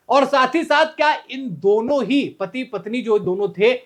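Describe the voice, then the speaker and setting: Conversational news presenter explaining a story. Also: mid-range voice. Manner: conversational, addressing viewers as friends. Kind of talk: informal explainer news commentary.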